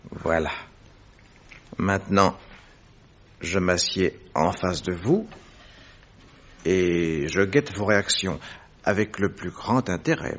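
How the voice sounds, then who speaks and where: An actor playing a keen, courteous gentleman detective in a French radio drama, speaking in a deliberate, theatrical way. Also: deep voice, full character voice. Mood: intent, careful. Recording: dull, muffled old radio recording.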